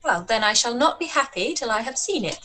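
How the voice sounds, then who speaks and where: A spirited young woman, voiced by an actress, asks curious questions in a high, bright, animated voice. It is a radio play reading. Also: fairly quick, rough recording.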